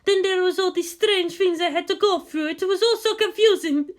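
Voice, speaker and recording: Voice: very high-pitched, steady tone, moderate pace, pitched above the performer's own voice. A performer voicing an earnest, easily flustered woman mystic, formal and defensive, in an audiobook story. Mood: flustered, hurt.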